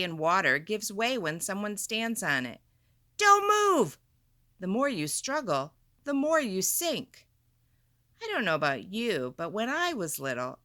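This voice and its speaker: Slow, very animated woman reading a storybook. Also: medium pitch. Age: adult. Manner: deliberate, dramatic emphasis, chatty questions to listeners. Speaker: storyteller, teacher reading to children. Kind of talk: expressive picture book read-aloud with asides.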